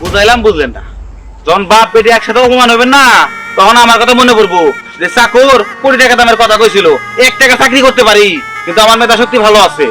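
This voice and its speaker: A man's voice: very high voice, fast, over music, low-quality recording. A man with a colloquial Bangladeshi accent playing a nagging, indignant servant who complains to his boss in a web drama.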